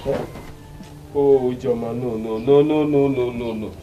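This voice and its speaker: A man's voice: medium pitch, fairly even intonation, moderate pace, warm tone, distant mic, dull audio, lightly acted. A man playing a lazy whining husband. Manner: whiny, pleading. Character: lazy drowsy husband resisting chores. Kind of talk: skit acting.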